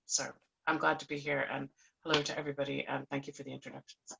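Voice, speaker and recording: A woman's voice: rumbling low, flat delivery, fast, vocal fry, pushed and bright, husky, lo-fi sound. A woman on a panel, an opera singer and presenter, speaking in an articulate, earnest way. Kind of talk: panel discussion.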